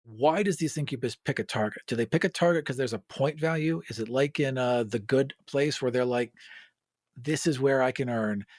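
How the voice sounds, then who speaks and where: A man's voice: medium pitch, normal speed, darkish tone, no echo, clean studio sound. A man chatting informally and agreeably as he brainstorms, a podcast co-host. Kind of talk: casual brainstorming chat.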